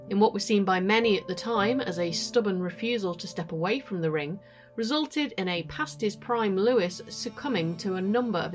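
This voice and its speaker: A woman's voice: medium pitch, natural voice. A woman playing a sober news reporter reading a formal, factual scripted report in an audio drama.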